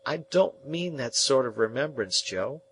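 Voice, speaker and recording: A man voicing an earnest, wistful young male apprentice who asks soft, pleading questions. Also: medium pitch, animated, unhurried, a little hiss or hum, voice pushed up, close to an everyday voice.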